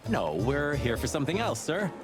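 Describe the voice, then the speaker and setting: An actor playing a cocky, loyal rooster detective sidekick in a noir video game, with music underneath. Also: male voice, fairly high pitch, sing-song, moderate pace. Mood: sheepish.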